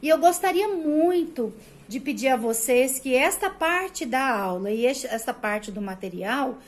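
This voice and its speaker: High-voiced Brazilian woman teaching. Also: lively intonation, unhurried. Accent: Brazilian Portuguese, rolled R of the interior. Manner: explanatory, asks rhetorical questions, frequent "né?" tags. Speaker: Portuguese teacher. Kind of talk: recorded prep-course lecture.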